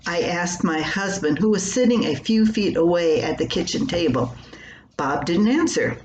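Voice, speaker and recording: An older woman reading her own homespun column aloud in an unhurried, plainspoken manner. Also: fairly low pitch, a little mellow. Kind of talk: homespun scripted storytelling, read aloud. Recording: roomy echo with faint background noise.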